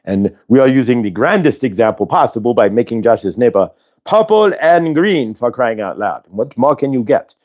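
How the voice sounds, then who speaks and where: A man voicing a benevolent otherworldly spirit collective in an affected, vaguely Indian-sounding accent, with drawn-out emphatic words, as in a live channeled healing session. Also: mid-range voice, very animated, a little mellow, close to an everyday voice. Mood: insistent, wondering. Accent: affected, vaguely Indian-sounding put-on accent.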